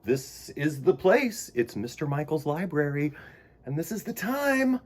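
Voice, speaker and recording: A man hosts storytime for children with a theatrical delivery. His pitch swoops widely and his voice has a husky edge. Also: sing-song, unhurried. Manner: theatrical, exclamatory. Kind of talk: expressive picture-book reading for children.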